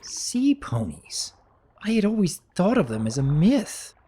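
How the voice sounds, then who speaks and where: A man puts on an earnest pony baron from a fantasy storybook. The pitch is lifted well above a deep narrator and swings widely. He sounds polite, hesitant and easily bewildered, with faint noise behind. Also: sing-song, unhurried, dark tone. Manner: hesitant, puzzled, courteous.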